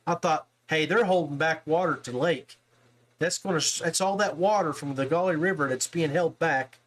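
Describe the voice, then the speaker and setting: A man's voice: on the high side, normal speed. A man with an American accent chatting casually as the host of a podcast. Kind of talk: casual chat.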